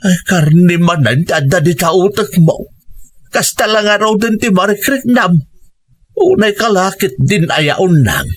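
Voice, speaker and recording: A man's voice: high voice, animated, measured pace, no echo. A man with an Ilocano accent acting a dutiful, long-suffering grown son in a radio drama, earnest and plaintive. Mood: worried. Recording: music bed under the voice.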